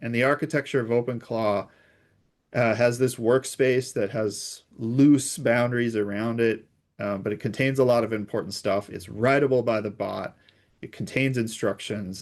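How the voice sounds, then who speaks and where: A man's voice: medium pitch, steady tone, moderate pace, darkish tone. Man explaining technical details conversationally. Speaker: guest, security researcher.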